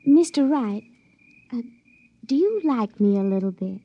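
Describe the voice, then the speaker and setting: A woman's voice: a little high, lively intonation, measured pace, no echo. An actress playing a naive, moody young backwoods girl in a radio drama, with a rural American hill-country accent and a hesitant, folksy way of talking. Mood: shy, tender. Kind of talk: 1950s radio drama acting. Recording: dry, dull vintage radio recording.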